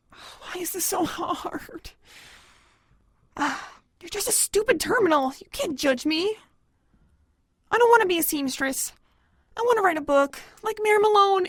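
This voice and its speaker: A woman's voice: very high voice, no echo. A woman voicing a bookish pony who dreams of writing novels, in an audio drama. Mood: hesitant, confiding. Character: bookish filly pony, later a brash aspiring novelist. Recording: music behind the voice.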